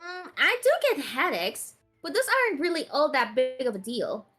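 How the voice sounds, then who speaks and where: A woman voicing a playful, pouty, clingy young female mage from an anime visual novel, excitable and stubborn. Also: high voice, moderate pace, breathy edge, faint music behind, full character voice.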